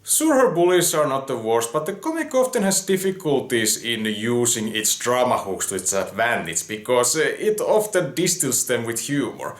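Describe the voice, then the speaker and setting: A man giving conversational review commentary in a musing, somewhat halting way. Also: medium pitch, sing-song, faint music behind. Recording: roomy, echoing voice recording.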